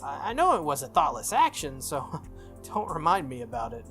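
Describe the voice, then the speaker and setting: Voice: medium pitch, sing-song, moderate pace, a bit bright, faint music behind. A game player voicing an earnest, stubborn, easily flustered teenage boy from a visual novel, hesitant and defensive.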